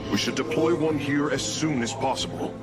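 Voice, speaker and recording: A man playing a learned, dutiful alien engineer in a video game cutscene, speaking formally with measured, precise diction. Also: on the high side, lightly acted. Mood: helpful, formal. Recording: game audio under loud music.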